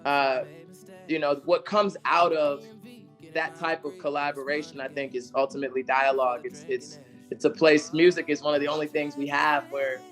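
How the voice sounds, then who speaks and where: A man with a raspy, slightly hoarse voice, answering interview questions in a relaxed, conversational way. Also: on the high side, moderate pace, faint music behind. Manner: relaxed, conversational, sings snippets. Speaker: interview guest, singer-songwriter. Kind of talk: casual interview chat.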